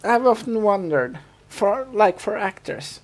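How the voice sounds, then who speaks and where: A woman co-hosting a podcast, chatting informally about a TV show and explaining things in a halting, conversational way. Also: medium pitch, lively intonation. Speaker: podcast co-host. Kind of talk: casual chat about a TV show.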